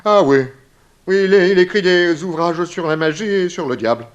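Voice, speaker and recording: An actor playing an effusive, tearful, gossipy lodger in a 1960s French radio play, with florid, hesitant, theatrical speech. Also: male voice, high-pitched, normal speed, clean tone, highs cut off, close to an everyday voice. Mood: confiding, dramatic.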